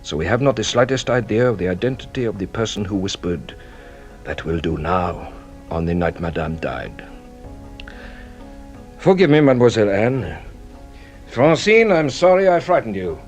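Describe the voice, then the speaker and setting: An actor playing a courtly, shrewd French police detective in a radio drama, probing and ceremonious with a teasing, theatrical manner. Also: male voice, fairly low pitch, very animated, normal speed, pauses often, faint music behind. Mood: grave, instructive. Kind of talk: British radio drama acting.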